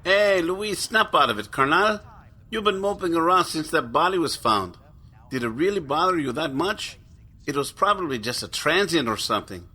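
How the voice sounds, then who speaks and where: Man doing a bright, high-ish chummy buddy. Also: lively intonation, normal speed, husky, full character voice. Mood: chummy, teasing. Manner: casual, chummy, slangy. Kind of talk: audio horror story character voice.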